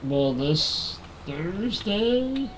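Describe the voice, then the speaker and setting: A man's voice: high-pitched, drawn-out words, slight background noise. A man in his forties with a nasal voice, chatting casually with viewers on a live stream while playing a game on a headset mic. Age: 40s. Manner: relaxed, talks to chat while playing.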